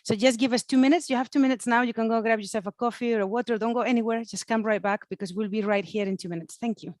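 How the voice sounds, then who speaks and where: A woman's voice: fairly high pitch, steady tone, quick pace, a little mellow, no room sound. A woman moderating an online event in an informal, chatty, encouraging way. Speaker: webinar moderator. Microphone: computer mic on video call.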